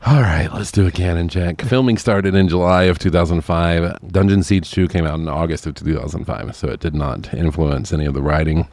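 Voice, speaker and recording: A man's voice: deep voice, steady tone, brisk, hardly any pauses, darkish tone, dry recording. A man co-hosting a podcast, talking casually and conversationally about films. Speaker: podcast co-host. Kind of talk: casual film review chat.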